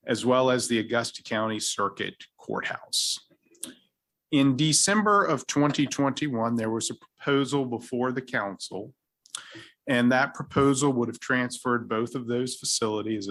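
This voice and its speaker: A city attorney with a Virginian accent gives a formal presentation to a council in a council chamber, using measured, precise legal phrasing. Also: male voice, medium pitch, fairly bright tone.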